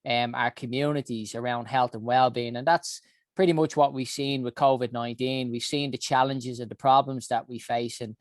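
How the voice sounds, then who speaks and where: A man's voice: medium pitch, steady tone, moderate pace, no room sound, clean studio sound. A man in his early forties with a Dublin Irish accent, giving an earnest personal talk about his career, with many ums and uhs. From Ireland. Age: 40s. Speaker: health summit founder.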